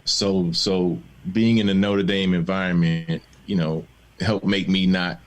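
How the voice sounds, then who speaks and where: Man, very low voice, reflective storyteller, laughs easily, candid panel guest on a video call. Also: steady tone, a little slow. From born in the Bahamas, raised in Maryland. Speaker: guest, film and TV showrunner.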